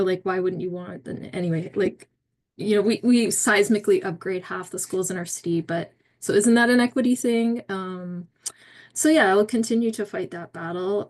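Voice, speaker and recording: A woman's voice: medium pitch, darkish tone. A middle-aged woman giving an informal, earnest and empathetic talk as an advocate. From Canada. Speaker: long COVID advocate. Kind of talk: informal unscripted advocacy speech.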